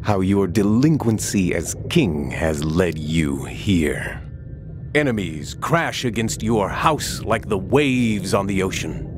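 A man's voice: sits low, animated, noisy background. A man playing a grandiose, scornful usurper in a video game, orating with pompous menace. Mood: grand, menacing. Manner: pompous, deliberate villain oratory. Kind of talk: dramatic video game acting.

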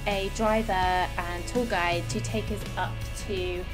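Woman's chatty voice-over under loud music. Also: medium pitch, steady tone, takes it slowly. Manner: chatty, informal. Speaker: travel vlogger and narrator. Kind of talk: casual travel vlog voice-over. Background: loud background music.

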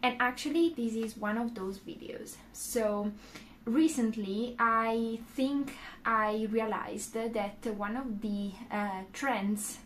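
Slow-talking Italian woman, many fillers. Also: on the high side, a little hiss or hum. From Italy. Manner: unhurried, many "uh" fillers, gestures with hands. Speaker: knitting YouTuber. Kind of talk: casual talk to camera. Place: small room indoors.

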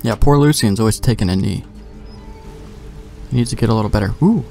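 A young man with a lowish, gravelly-edged voice does casual let's play commentary. He is offhand and slangy, thinking aloud, with faint game music underneath. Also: a little fast, warm tone. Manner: offhand, slangy, talking to himself. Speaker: gamer, let's play host.

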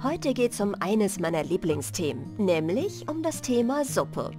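A woman in her thirties reading a bedtime fairy tale as an audiobook host, with unhurried, expressive storytelling, close on a studio microphone. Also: mid-range voice, music in the background. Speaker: audiobook reader and channel host. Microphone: large studio microphone, close.

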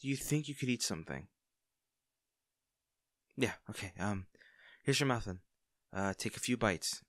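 Man acting as a low-voiced, caring barista. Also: animated, normal speed, fairly bright tone, dry recording, studio quality. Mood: concerned, gentle. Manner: casual, hesitant, attentive. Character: friendly, caring young male barista, teasing and easily flustered. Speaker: audio roleplay performer. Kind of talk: intimate audio roleplay acting.

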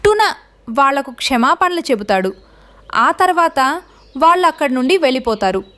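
A woman storyteller with a high voice narrates a children's tale. Her delivery is scripted narration, linking the scenes of a cartoon moral story. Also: big pitch swings, normal speed, fairly bright tone.

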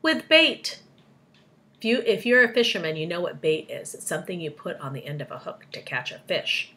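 A woman's voice: fairly low pitch, sing-song, moderate pace, pushed and bright. A woman voicing a clever, confident young boy in a storybook, earnest and eager as he explains. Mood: matter-of-fact.

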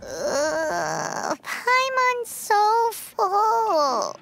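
A woman voicing a tiny, cheeky floating fairy companion in a video game, with a squeaky childlike voice. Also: huge pitch swings, very slow, creaky, background noise, heavily acted. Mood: groaning, full.

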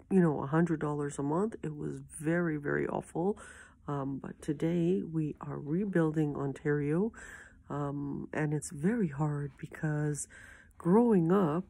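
A woman in her fifties speaks in a low, creaky voice with a soft, mellow tone. She talks to camera as in a personal video diary, halting and pausing often as she searches for the next phrase. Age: 50s. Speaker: author making a video diary entry. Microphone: phone at arm's length.

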